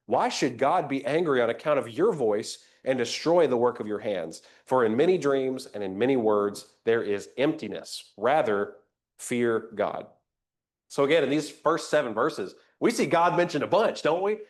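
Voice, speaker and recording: A man's voice: medium pitch, expressive, moderate pace. Slightly nasal man teaching a Bible class. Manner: conversational, invites comments, rhetorical questions. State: a little nasal from a cold.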